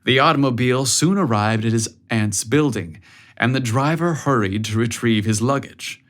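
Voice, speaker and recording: A man narrates a scripted piece of fiction. His voice sits at a middle pitch, and he delivers the prose as an audiobook narrator would. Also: moderate pace, a bit bright.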